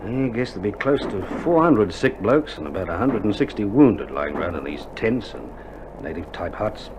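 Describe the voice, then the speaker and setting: Lively-voiced Australian army doctor, noisy old recording. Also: male voice, lowish voice, moderate pace. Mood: grave, matter-of-fact. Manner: colloquial, matter-of-fact, blokey. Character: weary, dry-humoured army doctor in a wartime field hospital. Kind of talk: recorded radio drama acting. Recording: dull vintage vinyl recording, loud noise.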